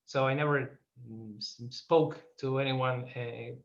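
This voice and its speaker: A man's voice: mid-range voice, steady tone, a little slow. A middle-aged man with an Argentinian accent, a native Spanish speaker, answering informally and hesitantly as he searches for words. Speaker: interview guest, filmmaker. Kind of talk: informal interview over video call. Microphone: video call. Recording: slightly muffled video-call audio.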